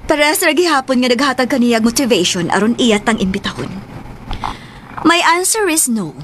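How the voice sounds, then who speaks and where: High-voiced strict Filipino mother, noisy ambience. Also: animated, moderate pace, fairly bright tone. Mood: stern, refusing. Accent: Filipino, Cebuano-speaking. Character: strict, longing mother, firm with her daughter. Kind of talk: radio drama acting.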